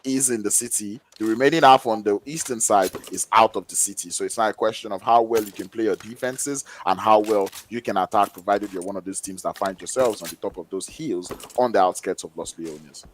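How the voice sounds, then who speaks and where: A man giving live esports analysis, animated and rising in excitement on big plays. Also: on the low side, fairly quick, few pauses, a little hiss or hum.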